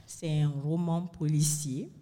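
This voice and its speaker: A woman's voice: low-pitched, fairly even intonation, slow pace, mellow. A woman from Mali, speaking conversationally as a guest at a live event. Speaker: panel guest, author. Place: live recording in a university bar. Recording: reverberant room, live event recording.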